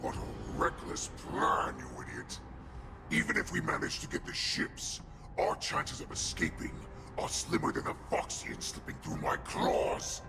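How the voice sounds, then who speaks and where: A man's voice: background noise, close to an everyday voice. A man voicing an ancient, cruel wolf-beast warlord in a video game, with a demonic growl and a menacing, commanding manner. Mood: scornful anger. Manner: menacing, commanding, drawn-out delivery. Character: ancient monstrous male wolf-beast warlord, cruel, imperious, freed prisoner. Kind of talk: dramatic video game voice acting. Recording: game audio with music behind.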